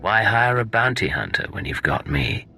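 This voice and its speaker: A cool, assertive secret agent in a sci-fi game. The voice is deep and husky with a hard, sharp edge. He is terse and defiant, with lively inflection. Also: normal speed, soft background music, heavily acted. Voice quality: gravelly, husky.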